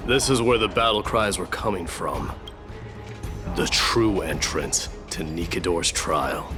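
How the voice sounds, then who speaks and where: An actor playing a proud, stern warrior prince in a video game, with a curt, brooding and measured delivery. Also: male voice, low voice. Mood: grim, brooding. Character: stern, battle-hardened young warrior prince, proud and defiant. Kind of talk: dramatic video game dialogue. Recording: studio voice under game music.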